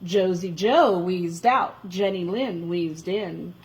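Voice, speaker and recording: A woman reading a children's story aloud in a dramatic, expressive way, drawing out words for emphasis. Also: lowish voice, takes it slowly.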